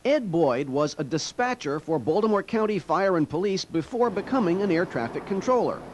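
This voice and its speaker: An American man with a fairly high voice narrates a TV news feature. His delivery is measured and wry, like a reporter telling a story. Some noise sits behind him. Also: sing-song.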